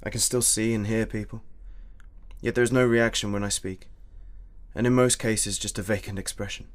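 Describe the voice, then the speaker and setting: A man's voice: lowish voice, fairly even intonation, moderate pace, close to an everyday voice. A man playing a grieving older general in a radio play, formal, earnest and wounded. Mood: despairing, lonely. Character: grieving retired general, older widower, hounded and despairing.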